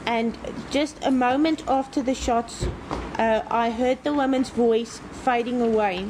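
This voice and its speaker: A woman interpreting testimony for a court, relaying each statement in a measured way. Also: a little high, fairly even intonation, noisy background. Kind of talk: consecutive interpretation.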